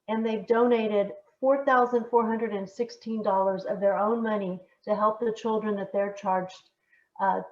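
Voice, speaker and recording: A woman's voice: a little high, darkish tone. A woman, a nonprofit director, giving an informal thank-you speech over an echoey, muffled video call.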